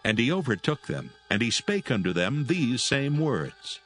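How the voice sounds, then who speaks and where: A man's voice: mid-range voice, big pitch swings, a little slow, fairly bright tone, faint music behind. A man narrating solemn scripture as part of a dramatised audio Bible.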